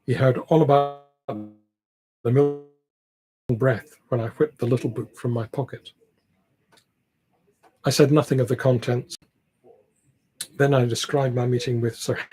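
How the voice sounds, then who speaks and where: A man with a slightly husky voice reading a classic novel aloud, now and then stumbling over words. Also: medium pitch, steady tone, a little slow, pauses often, rough recording. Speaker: amateur audiobook reader, library team member.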